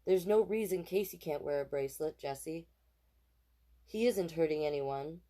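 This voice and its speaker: A woman voicing a kindly, accepting old grandmother in a storybook, gentle and reassuring. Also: low voice, normal speed, lightly acted.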